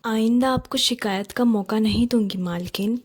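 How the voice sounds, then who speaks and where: A woman voicing a humble, meek poor maid and mother in a children's cartoon, deferential, apologetic and soft-spoken. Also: on the high side, normal speed, husky, dry recording, studio quality. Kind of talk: Hindi children's cartoon story acting.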